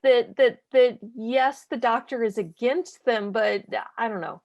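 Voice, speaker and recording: A woman with a fairly high voice speaks up on a fan panel call. She is tentative and hedges her points while she works them out. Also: unhurried, creaky, a little mellow, lo-fi sound. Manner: tentative, thinking aloud. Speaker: audience member invited to speak. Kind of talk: casual panel discussion.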